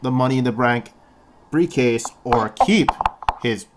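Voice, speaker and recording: A man's voice: mid-range voice, a little slow, heavy background noise. A young man with a lisp, co-hosting a casual wrestling prediction chat and rambling with repeated words. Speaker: podcast co-host.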